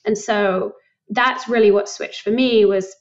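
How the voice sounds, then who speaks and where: A young woman from England, a company founder talking in a casual interview, thoughtful and quick to laugh. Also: medium pitch, fairly even intonation, highs cut off. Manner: reflective, laughs often, many likes. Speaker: guest, startup founder.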